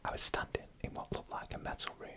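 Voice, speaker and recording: A narrator telling scary stories in a slow, hushed, breathy ASMR whisper, close to the microphone. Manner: slow, hushed, deliberate storytelling. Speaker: host and narrator.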